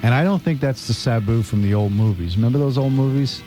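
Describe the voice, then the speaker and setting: A man's voice: mid-range voice, fast, dark tone. A middle-aged American man chatting casually as a freeform radio DJ, telling stories in a wry, rambling, repetitive way. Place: radio studio. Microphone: studio broadcast microphone. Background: music playing.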